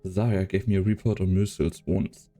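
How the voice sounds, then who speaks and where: A man playing a calm tactical leader in a game fan dub, laconic, unhurried and understated. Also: sits low, soft background music, natural voice. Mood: calm, dry. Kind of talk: scripted anime game dub acting.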